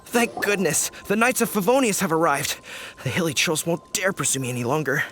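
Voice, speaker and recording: A man playing a flustered, indignant fruit cart driver in a game, complaining in an excitable way. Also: fairly high pitch, a little fast. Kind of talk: game voice acting. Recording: game audio with faint music.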